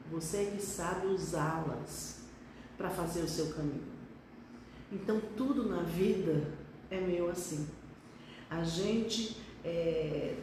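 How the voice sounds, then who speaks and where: A woman's voice: on the low side, background noise. A middle-aged woman pediatric neurologist from Brazil, talking reflectively on a live stream with some hesitations. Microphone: phone on live stream. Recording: echoey room, distant from mic.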